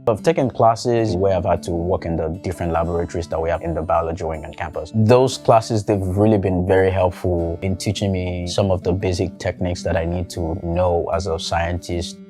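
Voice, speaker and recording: Very deep-voiced male student. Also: fairly even intonation, brisk, few pauses, mellow. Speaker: biology student. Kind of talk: promotional testimonial. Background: faint music.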